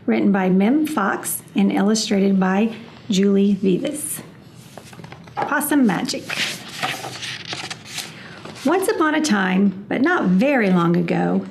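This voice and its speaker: A woman reading a children's storybook aloud in a measured, expressive storytelling manner. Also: medium pitch, vocal fry.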